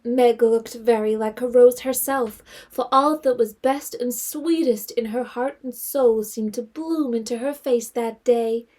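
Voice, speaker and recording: A woman's voice: a little high, a little slow, few pauses, a little mellow. A woman reading scripted literary narration for an audio drama.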